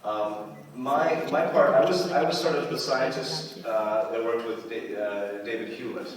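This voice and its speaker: A man's voice: medium pitch, measured pace, recorded from a distance. A man answering audience questions on a convention panel, rambling, repeating words and laughing often. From Canada. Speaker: convention panel guest actor. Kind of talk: convention Q&A talk. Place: large convention hall with audience. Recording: echoey hall recorded from afar, crowd noise.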